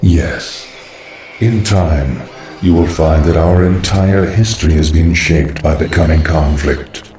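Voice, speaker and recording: A cold undead lich intones in a low, formal voice for a fantasy game. The delivery is measured and ominous, with strong reverberation over clearly audible music. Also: male voice, mellow, distant mic. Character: cold, scholarly undead lich serving a dark master. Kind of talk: video game cutscene acting.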